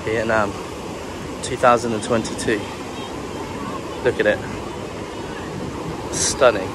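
A British man from England giving monotone, relaxed commentary while walking a beach outdoors, filming on a handheld camera. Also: on the low side, slow. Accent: British English. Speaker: travel vlogger. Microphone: handheld camera carried while walking. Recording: clear wind and surf noise. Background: ocean waves and breeze.

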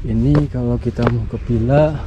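A man's voice: medium pitch, steady tone, normal speed, muffled recording. A man talking casually while walking through a villa with a handheld camera, chatty and loose with fillers. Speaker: vlogger touring a villa. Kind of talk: casual walkthrough commentary. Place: indoors and outdoors at a mountain lakeside villa. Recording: noisy camera audio.

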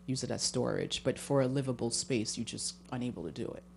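A woman's voice: rumbling low, brisk, vocal fry, a little mellow. A woman speaking as a homeowner applicant, explaining informally into a handheld microphone at a public meeting. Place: municipal meeting room. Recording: faint background hum.

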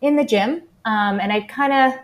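A woman's voice: on the high side, normal speed. A woman in her thirties from the Boston area, a former gymnast, talking about her life as an interview guest in an upbeat, chatty way with many fillers. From United States, Boston area. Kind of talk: casual interview talk.

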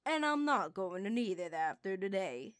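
A woman's voice: medium pitch, big pitch swings, normal speed, dry recording, clean studio sound, natural voice. A woman voicing an older, motherly grandmother in a full-cast audiobook, with a drawling Southern accent and an affectionate, teasing manner.

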